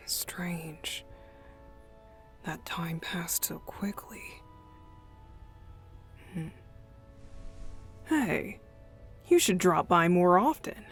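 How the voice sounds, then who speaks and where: A woman voicing a playful, mischievous young male deacon in an unhurried, teasing ASMR roleplay style. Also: on the low side. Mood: reluctant, inviting. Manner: teasing, unhurried, chuckling, breathy asides.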